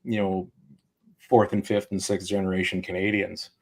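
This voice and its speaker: A man's voice: low-pitched, flat delivery, moderate pace, darkish tone, breathy edge. A man hosting a casual podcast, blunt and opinionated, swearing freely as he talks. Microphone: remote call mic.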